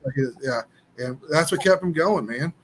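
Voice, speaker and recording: A man's voice: a little high, expressive, normal speed, crowd noise, rough recording. Rambling man in his thirties. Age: 30s. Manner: rambling, restarts a lot. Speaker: livestream host. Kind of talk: casual chat. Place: at home. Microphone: laptop.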